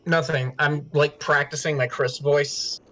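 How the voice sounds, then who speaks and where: A man's voice: fairly high pitch, steady tone, a little slow, pushed and bright. A man chatting casually as a co-reader in an amateur story reading. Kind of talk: casual banter.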